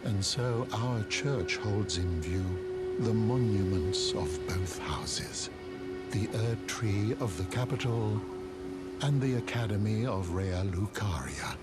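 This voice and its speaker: A man playing an aged, wise turtle cleric in a fantasy game, with a courteous, measured, gently formal manner. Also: on the low side, lively intonation. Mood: solemn, wistful. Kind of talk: theatrical fantasy game acting. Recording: clear music under the voice.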